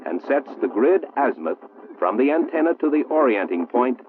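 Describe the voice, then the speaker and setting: An American man reading a 1960s military training film narration in a formal, measured, precisely enunciated manner. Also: medium pitch, sing-song, background noise. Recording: muffled, roomy 1960s film soundtrack, phone-like.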